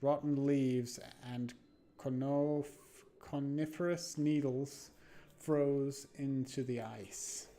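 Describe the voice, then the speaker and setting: A man live-streaming a game, reading horror narration aloud dramatically and haltingly, stumbling and correcting himself. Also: mid-range voice, steady tone, warm tone. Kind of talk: live read-aloud of a horror visual novel with asides. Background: background music.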